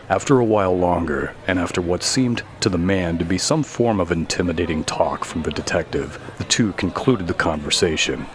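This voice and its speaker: A man reading scripted narration for a dark mystery story. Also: low-pitched. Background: faint music.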